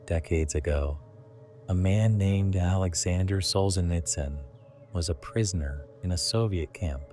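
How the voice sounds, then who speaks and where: Man, very low pitch, warm dark timbre, hushed guided meditation narration, deliberate short phrases. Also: faint music behind.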